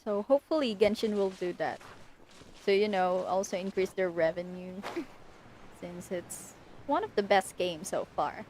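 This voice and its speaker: A woman's voice: medium pitch, a little slow, a little mellow, a little hiss or hum, rough recording. A young woman chatting casually as she comments on a game she is livestreaming. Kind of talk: casual chat, live gaming commentary.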